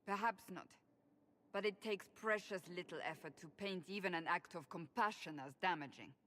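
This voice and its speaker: An actress voicing a stern, devout female warrior in video game dialogue, proud and earnest, speaking in a low, firm, measured way. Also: fairly bright tone, close to an everyday voice.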